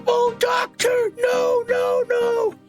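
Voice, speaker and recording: Shrill damsel-in-distress companion, played by an older man, very high, melodramatic, pleading sci-fi serial heroine. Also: monotone, extremely slow, faint music behind, exaggerated voice. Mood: mock distress. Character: helpless, panicking female companion crying out.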